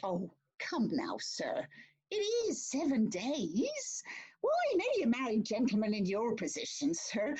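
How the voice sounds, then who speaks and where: Woman playing a lively English court clerk. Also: fairly high pitch, unhurried, breathy edge, full character voice. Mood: consoling. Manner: deferential, chivvying. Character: brisk, consoling male court clerk. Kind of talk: theatrical farce reading.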